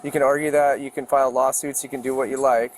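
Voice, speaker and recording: A man's voice: medium pitch, flat delivery, fast talker. An American man working as a police officer, speaking firmly and patiently during a roadside stop and repeating himself. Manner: patient, firm, repetitive. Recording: faintly noisy outdoor bodycam audio.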